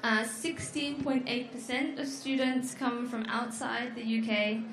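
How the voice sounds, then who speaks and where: A young woman with a fairly high voice gives an easygoing talk at a fair. She speaks to the crowd in a friendly way, with small ums between points, and her voice rings through a large echoey hall. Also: fairly even intonation, a bit bright, slight background noise. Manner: friendly, explains slowly with fillers. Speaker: presenter. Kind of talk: informal presentation at an education fair.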